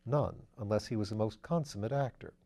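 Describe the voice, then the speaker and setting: Methodical police detective, steady and low-key, read by a man, audiobook. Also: creaky. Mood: matter-of-fact. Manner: calm, matter-of-fact, measured.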